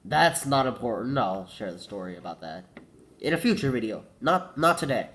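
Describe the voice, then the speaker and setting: A man's voice: mid-range voice, big pitch swings, normal speed, a bit bright. Congested young man rambling casually. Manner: rambling, self-correcting, says "like" a lot. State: congested with a cold, coughing. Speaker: vlogger.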